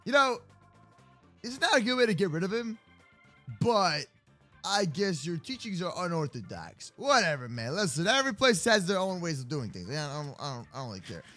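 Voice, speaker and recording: A man improvising game roleplay commentary, animated and slangy, reacting aloud as he goes. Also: high-pitched, brisk, faint music behind. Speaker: gaming video host.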